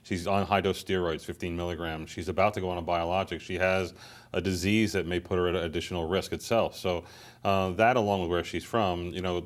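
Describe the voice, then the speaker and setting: Deep, fast male panelist, brisk and to the point. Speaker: panel expert.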